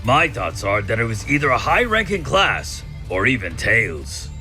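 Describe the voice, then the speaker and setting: A man's voice: mid-range voice, very animated, normal speed, pushed and bright. A man voicing a thoughtful, scheming mad scientist in a comic dub, musing and conversational. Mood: genial, musing. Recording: faint music under the voice. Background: background music.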